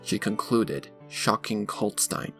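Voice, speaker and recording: A man's voice: lowish voice, slow, a bit bright. A man reading scripted fan fiction as a dramatic narrator. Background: background music.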